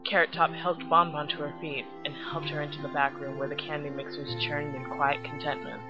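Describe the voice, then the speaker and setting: A woman's voice: low-pitched, little pitch movement, a little fast. A woman hosting a web series, reviewing casually and narrating a story. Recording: muffled lo-fi with music behind. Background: background music.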